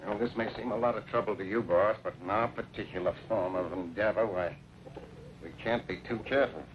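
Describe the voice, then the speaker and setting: An actor playing a sly, hard-nosed smuggling boss in an old western drama, speaking in a smooth, folksy way. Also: male voice, fairly low pitch, brisk, lightly acted. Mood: calm, calculating. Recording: old muffled, noisy radio-era recording.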